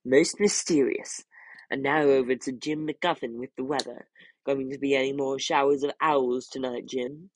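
A man voicing a formal male TV newscaster in a storybook reading, with a measured broadcast delivery. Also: mid-range voice, fairly even intonation, slight background noise, natural voice, poor audio. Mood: newsy.

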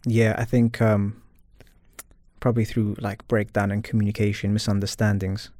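Man, slightly low pitch, earnest, reflective personal conversation, interview guest, dry sound. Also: level tone, normal speed, a little mellow, dry recording.

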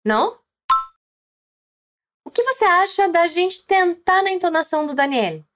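A woman with a Brazilian Portuguese accent, teaching a language lesson slowly and clearly, prompting the listener to repeat. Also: very high voice, sing-song, a bit bright. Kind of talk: scripted lesson narration.

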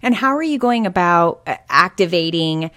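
Upbeat woman interviewer, lower register, close dry mic. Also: animated, moderate pace, fairly bright tone, dry recording. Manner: chatty, upbeat, eager interviewer. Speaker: podcast host. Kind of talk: casual interview chat.

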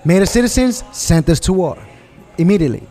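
A man's voice: sits high, expressive, quick pace, warm tone, soft background music. A man from New York in a casual conversation, talking emphatically and often asking "you understand?" to check he is followed. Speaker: guest.

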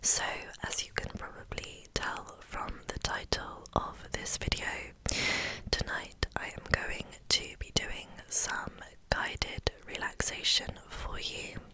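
A woman gently guiding a relaxation as an ASMR host, in a breathy, soothing whisper. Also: poor audio. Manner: gentle, soothing, unhurried instruction.

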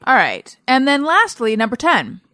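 A woman's voice: high voice, wildly swooping pitch, normal speed, loud music behind the voice. A woman hosting a podcast, asking quiz questions and chatting in a playful way. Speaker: podcast host. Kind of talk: casual chat, quiz hosting.

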